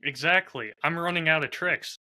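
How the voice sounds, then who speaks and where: A man's voice: fairly high pitch, moderate pace, sharp tone. A man chatting casually as a podcast co-host, with a wry, self-deprecating way of talking. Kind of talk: casual chat.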